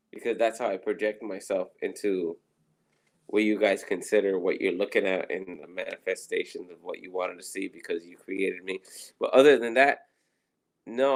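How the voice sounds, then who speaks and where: A man with a Puerto Rican accent, co-hosting a podcast in casual, rambling, profane chat. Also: lowish voice. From Puerto Rico. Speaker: podcast co-host.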